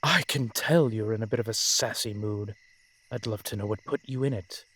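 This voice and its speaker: A man playing a brooding, protective vampire in an audio roleplay, speaking in formal, measured phrases with dramatic pauses. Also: fairly low pitch, a bit bright, husky. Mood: exasperated, teasing. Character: brooding, protective male vampire, old-fashioned and frustrated. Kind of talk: scripted audio roleplay acting addressed to the listener.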